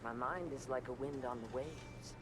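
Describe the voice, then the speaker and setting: An actor playing a calm, wistful young wandering samurai poet in a video game, with poetic, measured phrasing. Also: male voice, mid-range voice, heavy background noise, lightly acted. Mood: calm, cool.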